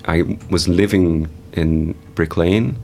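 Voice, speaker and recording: A young man from England whose speech carries a slight German accent. His voice sits extremely low and stays flat, and he talks haltingly with many fillers. A faint noise runs underneath. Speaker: guest artist. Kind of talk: casual interview talk.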